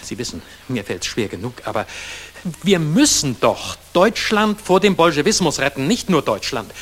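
An actor playing an earnest, anxious older industrialist who speaks formally and pleadingly in a German radio drama. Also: male voice, a little high, sing-song, normal speed, a bit bright. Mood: urgent, pleading. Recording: faintly hissy 1960s mono radio recording.

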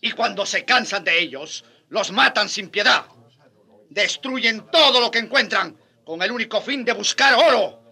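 A man's voice: sits high, animated, measured pace, bright, forceful tone, slightly rough, full character voice. A man playing a passionate, sardonic Spanish friar who argues with challenging questions in a radio drama. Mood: bitter, accusing. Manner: impassioned, challenging, mocking rhetorical questions.

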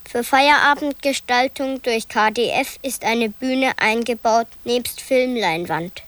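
A woman reading an official document aloud in a measured, matter-of-fact way for a radio piece. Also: on the high side, a bit bright, dry recording.

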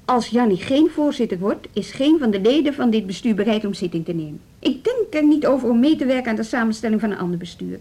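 Animated, husky Dutch school leader. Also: female voice, on the high side. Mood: firm, insistent. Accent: Netherlands Dutch. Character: assertive, animated woman on a music school's leadership team. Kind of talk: radio drama acting.

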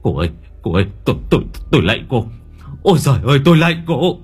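A man's voice: mid-range voice, sing-song, fast, breathy edge, pitched above the performer's own voice. A man voicing a distraught middle-aged father in a horror story, pleading and lamenting tearfully. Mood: terrified, pleading. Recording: background music under the voice.

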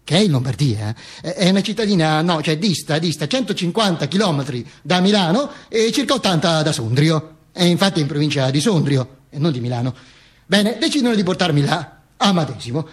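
Man, high voice, slightly rough tone, mock-serious stage monologue as an ironic talking corpse, emphatic. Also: expressive, a little fast. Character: Mussolini's corpse narrating its own misadventures with ironic wit. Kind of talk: theatrical comic monologue.

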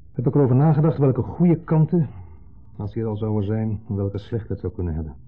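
An actor playing a wistful, brooding, middle-aged ex-husband in a radio play, speaking in wordy, hesitant, formally polite sentences with a pointed undertone. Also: male voice, on the low side, husky. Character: wistful, brooding ex-husband, a long-winded dinner guest. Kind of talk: 1970s Dutch radio play acting. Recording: muffled, phone-like 1970s radio recording.

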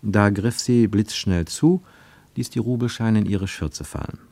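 A man narrating a radio drama in a measured, deliberate storytelling manner, recorded in a studio. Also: lowish voice, creaky, a little mellow. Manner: measured, deliberate storytelling with slowed emphatic phrases.

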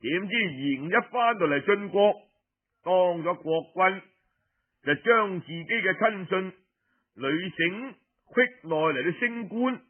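A male Cantonese storyteller with a high, husky voice. He narrates in a colloquial, dramatized oral style and speaks straight to his listeners. The recording is dull and lo-fi, like radio. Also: slow pace. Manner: colloquial, expressive, addresses listeners directly.

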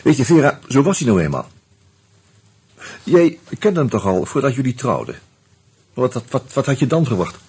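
An actor playing a reflective, wry man who tells his story in a radio play, in a musing, halting, conversational way. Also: medium pitch, big pitch swings, a little mellow, slightly rough, no echo. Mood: wry, probing. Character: reflective male friend telling his story, wry, hesitant. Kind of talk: intimate first-person radio drama narration and dialogue.

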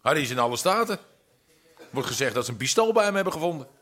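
An actor playing a nervy, gossiping underworld accomplice in a radio drama, speaking with urgency. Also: male voice, on the high side, very animated, fast, fairly bright tone. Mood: urgent, worried.

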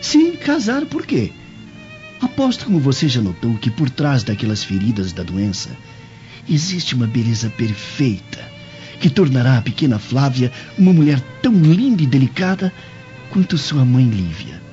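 A Brazilian man plays a young Roman nobleman, ardent and determined, in a radio drama. The delivery is declamatory and highly melodic in a mellow mid-range voice. The audio is dull, with faint music. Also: sing-song, moderate pace, natural voice. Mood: lovestruck, earnest. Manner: declamatory, earnest.

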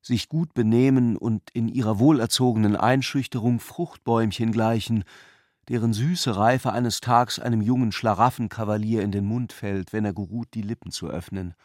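A man's voice: fairly low pitch, moderate pace, darkish tone, no echo, studio quality. A man reading literary narration as the narrator of a radio play.

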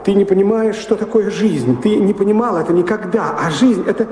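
An actor playing a deferential, hesitant manservant in an audio play, with an obsequious, halting way of speaking. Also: male voice, high voice, animated, dark tone. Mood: dutiful, halting. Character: deferential, hesitant male servant of a noble house. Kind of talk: audio play stage acting. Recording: echoey hall, noisy old radio-play recording.

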